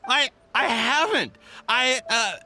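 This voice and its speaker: Nervous merchant, high-pitched, bright, stammering, evasive, video game. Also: male voice, expressive, slow pace, faint music behind, heavily acted. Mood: panicked. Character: nervous, shifty male merchant protesting his innocence.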